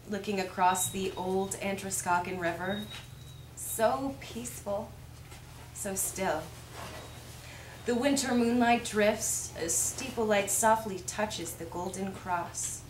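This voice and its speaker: Slow-speaking woman reciting in echoey room. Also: medium pitch. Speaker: poem reader. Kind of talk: live poetry recitation. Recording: echoey room with faint noise.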